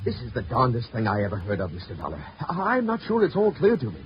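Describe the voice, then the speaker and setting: An actor playing a mild, bewildered insurance broker in a radio drama, hesitant and full of questions. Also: male voice, medium pitch, wildly swooping pitch, fast. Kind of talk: 1950s radio drama acting. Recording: muffled, noisy vintage radio recording.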